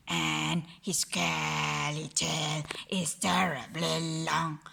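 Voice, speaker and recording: Woman as big father monster, storybook, lowered voice, very slow, ominous, emphatic. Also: gravelly, pushed and bright, heavily acted. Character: big gruff father monster telling a scary warning.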